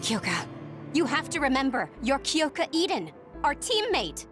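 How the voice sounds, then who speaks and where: A woman's voice: sits high, very animated, normal speed, over music. A woman playing a blunt, skeptical female soldier in a video game, with a dry manner. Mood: urgent. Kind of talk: English video game voice acting.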